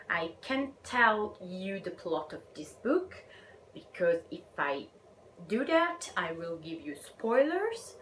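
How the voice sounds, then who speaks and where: Slow, shy Italian woman. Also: mid-range voice. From Italy. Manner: slow, hesitant, shy, drops to a whisper at times. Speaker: book vlogger. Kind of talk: casual book review vlog. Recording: echoey room with faint ambient noise.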